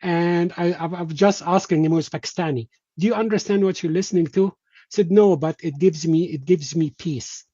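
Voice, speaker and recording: A man's voice: a little high, brisk, dark tone, breathy edge, no room sound, muffled recording. A man whose first language is Egyptian Arabic, speaking as an interview guest, hesitant, with many fillers and restarts. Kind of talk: conversational interview.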